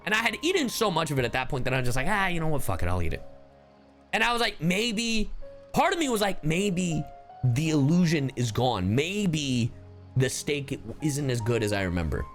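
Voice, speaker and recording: A man's voice: on the high side, moderate pace, faint music behind. A young American man casually commentating as a game streamer, slangy and sweary. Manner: animated, slangy storytelling with profanity.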